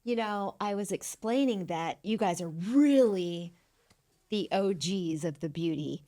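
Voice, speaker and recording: A woman's voice: mid-range voice, animated, measured pace, warm tone. A woman hosting a casual interview podcast, bubbly and enthusiastic, laughing often as she chats with her guests. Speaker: podcast host. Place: California.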